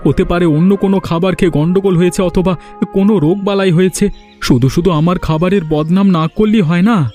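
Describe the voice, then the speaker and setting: A man's voice: high voice, fairly quick, dark tone, soft background music, close to an everyday voice. A man playing a sly, defensive village food seller in a fairy-tale cartoon, fawning and protesting.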